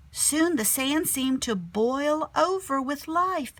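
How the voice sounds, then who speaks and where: A woman teacher reading a children's picture book aloud expressively, sometimes dropping to a hushed tone. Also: high-pitched, slow pace, no echo. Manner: animated storyteller, drops to hushed whispery tones.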